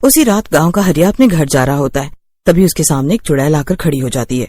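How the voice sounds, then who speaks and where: A woman's voice: low voice, animated, fairly quick, warm tone, no room sound, studio quality. A woman reading scripted horror story narration as a storyteller.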